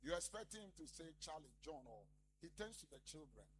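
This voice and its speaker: A male pastor preaches in a high, swinging voice that leaps up and down. He talks emphatically and keeps calling out to the congregation. A faint hiss of noise sits under the recording. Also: sing-song, fairly quick. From Ghana. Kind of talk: lively sermon.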